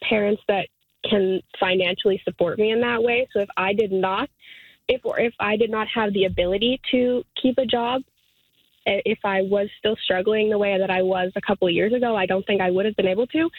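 A woman of twenty talking earnestly in a radio interview, halting and restarting, with light laughs. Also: medium pitch, no room sound. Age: 20s. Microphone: phone. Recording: tinny phone line, dry.

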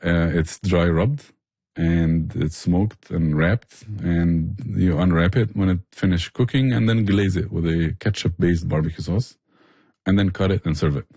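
A man's voice: deep voice, a little slow, creaky, darkish tone, slightly rough, dry recording. A man explaining things with passion in a conversational interview. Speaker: barbecue restaurant owner and chef, interview guest.

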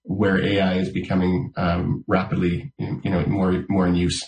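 A man with a low voice speaks in a measured, deliberate way, often pausing on "uh" and "um". His voice rings in a roomy, echoey space. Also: completely flat delivery, gravelly, darkish tone. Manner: measured, filler-heavy explanations. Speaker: school district official. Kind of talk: radio interview answers.

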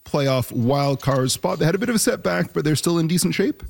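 A man's voice: fairly high pitch, expressive, a little fast, gravelly, a little mellow. Easygoing male morning radio host. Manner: easygoing, chatty. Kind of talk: casual radio banter.

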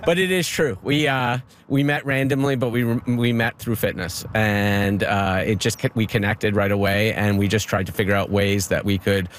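A man who teaches fitness and co-runs group bike rides, talking in a relaxed, easygoing way during an outdoor interview. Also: on the low side, normal speed, few pauses, slight background noise. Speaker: fitness instructor and ride co-organizer. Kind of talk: casual interview.

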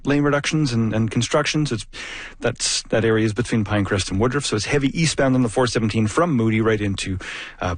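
Man, traffic reporter, rapid-fire delivery, casual fillers, dry close mic. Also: on the low side, dry recording.

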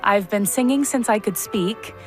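A woman from Kentucky, an opera competition finalist, telling lively how she found her calling. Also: medium pitch, moderate pace, faint music behind. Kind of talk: recorded radio interview clip.

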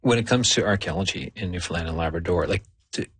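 Low husky man hosting an interview. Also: quick pace, a bit bright, dry recording. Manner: rambling, restarts questions, jokey. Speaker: interview host. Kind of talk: casual interview chat.

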